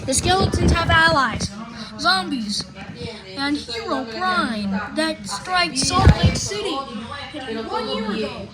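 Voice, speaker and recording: High-pitched woman playing an emergency alert announcer. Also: expressive, slow, very noisy. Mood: urgent. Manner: formal, repetitive announcing. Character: stern emergency alert announcer giving urgent public warnings. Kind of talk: amateur mock emergency broadcast.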